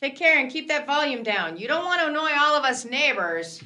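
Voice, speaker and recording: An actress playing a casual, teasing neighbor in an audio drama. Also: female voice, high-pitched, very animated, a little fast, heavy background noise. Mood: breezy. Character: breezy, familiar female neighbor.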